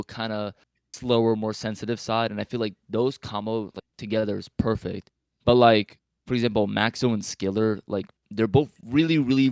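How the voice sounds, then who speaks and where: A man with a fairly low voice talks as a podcast panelist. He reasons casually and tentatively, hedging often and leaning on "like", in a dry, close sound. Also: a little mellow, clean tone, dry recording. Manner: tentative, hedging, heavy use of "like". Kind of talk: casual chat.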